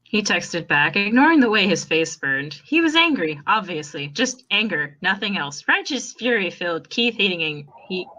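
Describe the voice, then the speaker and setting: A woman narrates a story in a bright, forward voice at a middle pitch. Her reading is expressive and wry, leaning into dramatic emphasis like a fan reading a favourite tale. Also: a little hiss or hum, rough recording.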